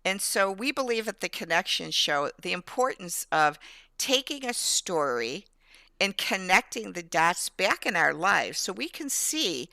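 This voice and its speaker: A woman hosting an interview, asking her guest questions in a slow, encouraging way. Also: on the low side, very animated, creaky, bright, forceful tone, no echo. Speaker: host.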